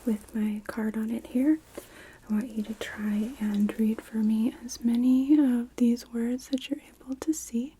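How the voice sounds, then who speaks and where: Asmr lady doing an eye doctor roleplay, breathy whisper, gentle and slow instructions, bit of background hiss. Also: fairly high pitch, fairly even intonation. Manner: gentle, unhurried step-by-step instructions. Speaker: ASMR performer playing an optometrist. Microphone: close microphones on stands with reflection shields.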